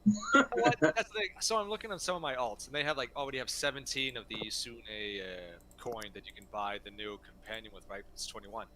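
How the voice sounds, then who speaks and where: A man's voice: medium pitch, fairly quick, bright, forceful tone, rough recording. A young man with a first language other than English, chatting casually while streaming a game. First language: not English. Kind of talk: casual gaming chat.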